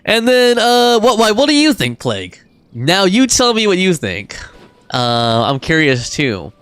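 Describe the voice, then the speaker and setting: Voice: medium pitch, huge pitch swings, unhurried, fairly bright tone, smooth. A game livestreamer chatting casually and informally with viewers, reacting and thinking aloud. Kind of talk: casual chat, reading out game dialogue and viewer comments.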